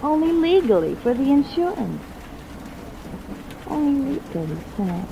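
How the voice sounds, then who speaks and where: A woman's voice: sits high, very animated, a little slow, vocal fry, dull audio, heavily acted. A woman playing a breezy, flirtatious, amoral femme fatale stunt performer in a 1940s radio drama. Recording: noisy old radio recording.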